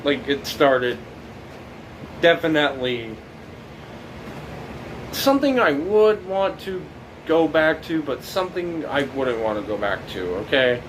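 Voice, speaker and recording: Slow, rambling teenage guy with faint hum. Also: on the high side, sing-song, poor audio. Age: late teens. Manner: rambling, halting, many fillers and restarts. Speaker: documentary subject. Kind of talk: casual reflective monologue.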